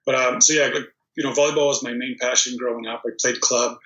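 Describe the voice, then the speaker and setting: A man's voice: medium pitch, fast talker. A man in his thirties, originally from Poland, talking casually as an interview guest with plenty of ums and restarts. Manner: relaxed storytelling with many ums and restarts. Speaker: guest, volleyball player. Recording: dull, echoey remote recording.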